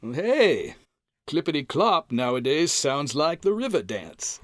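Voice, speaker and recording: A man's voice: a little high, sing-song, slow, dry recording, studio quality. A man playing a folksy, exasperated, wisecracking husband in an old-time radio comedy.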